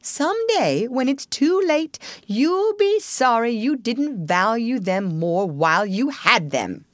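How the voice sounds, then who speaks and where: A woman's voice: mid-range voice, very animated, a little slow, dry recording, voice pushed up. A woman voicing a good-natured, sensible male rat in a storybook, earnest and fussily proper as a scolding friend. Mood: reproachful.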